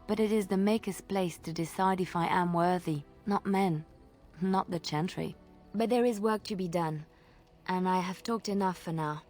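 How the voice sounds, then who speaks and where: A woman acting as a young lay sister and former minstrel in a fantasy game. She speaks slightly low for a woman. Her delivery is gentle, measured and lilting, devout yet playfully secretive. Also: soft background music, natural voice. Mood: earnest, wistful.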